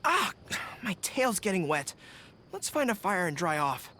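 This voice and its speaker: A performer voicing an eager, disciplined young male general with dog ears and a tail in a video game, with a brisk military delivery. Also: mid-range voice, sing-song, pushed and bright, slight background noise. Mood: casual, unguarded. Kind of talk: video game character voice lines.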